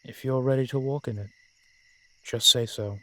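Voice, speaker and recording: A man playing a centuries-old vampire general in a roleplay audio drama, protective and proud, speaking with courtly, measured phrasing and dramatic pauses. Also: mid-range voice, steady tone, sharp tone, natural voice. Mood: reassuring. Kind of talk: roleplay audio drama, addressed intimately to one listener.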